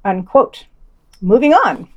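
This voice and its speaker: A woman's voice: mid-range voice, sing-song, takes it slowly, muffled recording. A woman reading a scripted news roundup with quotes and commentary as a podcast host.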